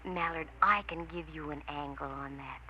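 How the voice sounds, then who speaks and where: A woman's voice: deep voice, normal speed, sharp tone. An American woman playing a wisecracking, hard-boiled female private eye in a vintage radio noir drama. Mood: sardonic. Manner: sardonic, slangy wisecracks. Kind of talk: 1940s-50s radio noir acting and narration. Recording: noisy, dull vintage radio recording.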